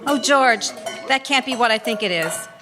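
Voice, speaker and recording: Woman acting high-voiced anxious genteel mother, clanging behind. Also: lively intonation, moderate pace, natural voice. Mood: dismayed. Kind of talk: radio drama acting. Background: music and clanging pots and pans.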